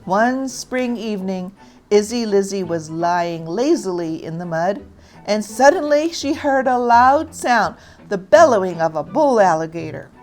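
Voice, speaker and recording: A woman narrating a children's story aloud in an expressive, deliberate read-aloud style. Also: medium pitch, soft background music.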